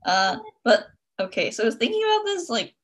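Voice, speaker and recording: A woman's voice: a little high, big pitch swings, fairly quick. A young woman co-hosting a casual podcast, reflective and hesitant, thinking aloud with many fillers. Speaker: podcast co-host.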